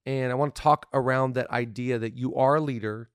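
Upbeat male podcast host, mid-pitched, playful and chatty, dry close studio sound. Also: steady tone, clear, resonant tone, no room sound. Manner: upbeat, playful, quick to laugh. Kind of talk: casual podcast talk on leadership.

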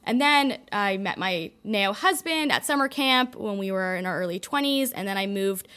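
A young woman with a Canadian accent chats as a podcast guest, her voice sitting at an everyday middle pitch. She runs through her story quickly and casually, with plenty of likes and ums along the way. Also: animated, sharp tone. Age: young adult. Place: indoor studio in a building.

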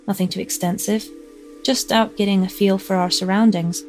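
A woman's voice: fairly low pitch, a little mellow, natural voice. A woman narrating a horror story in the first person as an uneasy young mother. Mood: uneasy, reflective. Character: uneasy young mother recounting an eerie encounter. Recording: narration over background music.

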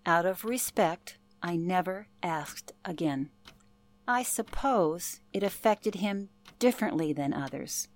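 Actress as elderly lady, gentle, nostalgic, polite, radio drama, dry close sound. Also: on the low side, expressive, slow, no room sound, faint music behind. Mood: wistful. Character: gracious elderly woman reminiscing about a night in 1938. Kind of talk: radio play acting.

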